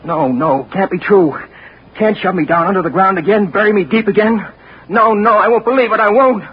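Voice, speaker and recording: A man's voice: on the high side, lively intonation, measured pace, husky, full character voice. An actor in a radio drama playing a wounded man trapped in a silent body, pleading urgently in his own thoughts. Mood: frantic. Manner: pleading, urgent, talks to himself. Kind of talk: 1940s radio acting, inner monologue. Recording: muffled old radio recording with hiss.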